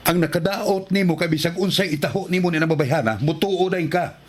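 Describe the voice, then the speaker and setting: A man's voice: on the high side, a little fast, breathy edge. A man playing an embattled, defensive and exasperated husband in a melodramatic radio drama. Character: embattled husband defending himself to jealous wife. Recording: slightly noisy radio drama recording.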